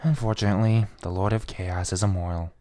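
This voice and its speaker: A man's voice: sits low, fairly even intonation, fairly quick, warm tone. A man giving a brooding, theatrical first-person reading of a fan fiction story. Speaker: amateur fan fiction reader.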